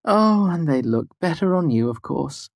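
A man voicing a blunt, sarcastic, proud small woman with a curt, sharp manner, as in an audiobook. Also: medium pitch, animated, moderate pace, husky, no room sound, clean studio sound, pitched above the performer's own voice. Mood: exasperated, brash. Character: sardonic, blunt, foul-mouthed small woman with fierce pride. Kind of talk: audiobook character dialogue.

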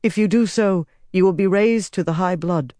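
A man reading aloud, voicing a cold, commanding young empress who speaks in measured, haughty sentences. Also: sits high, mellow, no echo, clean studio sound, pitched above the performer's own voice, lightly acted.

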